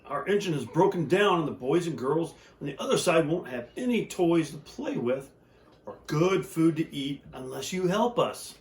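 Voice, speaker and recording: A man voicing a chorus of toy dolls in a storybook reading, high and pleading. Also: expressive, normal speed, roomy sound.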